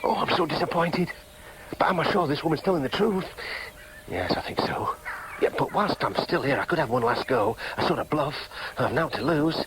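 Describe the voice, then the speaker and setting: A man's voice: fairly high pitch, animated, normal speed, background noise, close to an everyday voice. An actor in a radio drama playing an earnest, dogged young man with a Yorkshire accent. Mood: inner doubt. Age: young adult. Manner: insistent, questioning, sometimes in inner monologue. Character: earnest, dogged young Yorkshireman seeking his birth mother.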